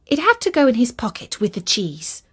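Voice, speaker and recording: A woman reading a scripted fairytale for children in an expressive, deliberate storytelling delivery. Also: on the high side, breathy edge.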